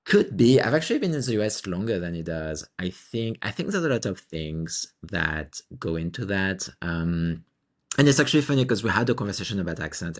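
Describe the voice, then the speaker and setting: A man from France with a strong French accent, co-hosting a podcast and telling stories in a relaxed, chatty way with lots of restarts. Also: low voice. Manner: animated storytelling with frequent restarts and laughs. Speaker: podcast co-host. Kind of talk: casual podcast chat.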